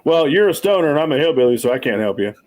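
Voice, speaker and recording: A man's voice: on the high side, expressive, rapid-fire, a little mellow. Forties male stream host, loose and self-deprecating, cusses freely, rambles on. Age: 40s. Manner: rambling, swearing, self-mocking. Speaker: livestream host. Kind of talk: casual livestream chat.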